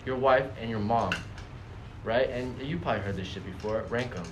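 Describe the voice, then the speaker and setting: A man's voice: mid-range voice, moderate pace. A young man chatting casually as a podcast host, blunt and emphatic, with heavy slang and swearing, repeating himself to make a point. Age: young adult. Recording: noticeable background noise.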